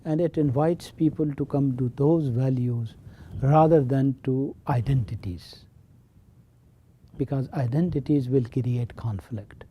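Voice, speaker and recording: An older man with a Pakistani accent, a professor explaining his views in an interview in an emphatic, deliberate manner. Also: mid-range voice, warm tone, slightly rough. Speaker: professor of Islamic studies.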